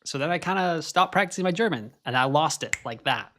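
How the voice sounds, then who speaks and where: A man's voice: a little high, animated, fairly quick, a bit bright, slight background noise. A young man with an American accent, born in Scotland, hosting a casual live stream and chatting while he watches qualifying. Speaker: livestream host.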